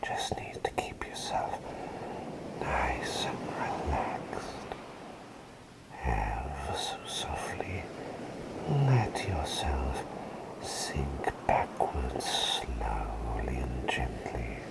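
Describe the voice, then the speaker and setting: A man playing a gentle, attentive plague doctor in an ASMR roleplay, speaking in a breathy, soothing whisper with a polite, slightly hesitant formality. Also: rumbling low, very slow, heavily acted, low-quality recording. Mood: soothing, calming.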